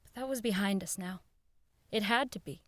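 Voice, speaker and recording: A woman playing an uneasy radio producer who recalls eerie events in measured, dramatic audio drama narration. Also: mid-range voice, fairly bright tone, no room sound, natural voice. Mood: grave, reflective. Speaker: narrator and radio show director. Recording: violin music behind the voice.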